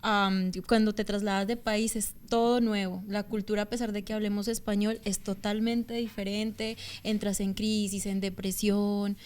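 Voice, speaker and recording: A woman in her thirties with a Bogotá Colombian accent, speaking in a smooth, resonant voice at a middle pitch. She talks as an interview guest, chatty, pausing on fillers and restarting her sentences. The sound is a television studio interview. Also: flat delivery, a little mellow. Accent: Bogotá Colombian Spanish. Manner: talkative, hesitant fillers, frequent restarts. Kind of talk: conversational interview testimony.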